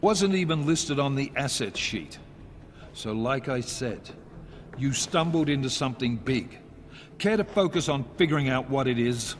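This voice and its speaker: An actor playing a brisk, authoritative police task force chief in a video game. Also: male voice, medium pitch, animated, slight background noise, lightly acted. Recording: game cutscene with score.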